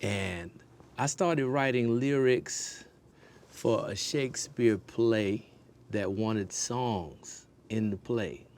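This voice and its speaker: Slow, reflective man with a low voice. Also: a little mellow, a little hiss or hum. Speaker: musician guest. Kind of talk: casual chat.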